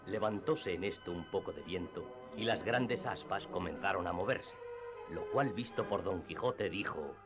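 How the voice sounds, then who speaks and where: A man's voice: low voice, normal speed. A man reading scripted literary narration for radio theatre. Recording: dull lo-fi radio drama with music.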